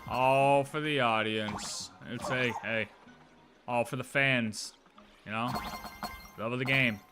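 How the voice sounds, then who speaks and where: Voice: medium pitch, fairly even intonation, takes it slowly. Game streamer, casual live commentary, playful, exclamatory, mid-range voice, music in background. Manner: playful, exclamatory, repeats phrases, mild swearing.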